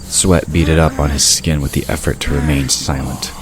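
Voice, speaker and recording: A man's voice: a bass voice, fairly even intonation, normal speed. A man narrating a scripted fantasy audio drama. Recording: noisy ambient sound effects behind.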